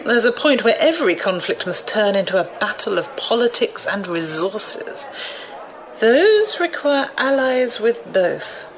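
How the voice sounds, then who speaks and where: A woman's voice: on the high side, sing-song, measured pace, poor audio. An actress playing a sly, amused, commanding old noblewoman in an audio drama, with refined, teasing, theatrical delivery. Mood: wry, commanding. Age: older.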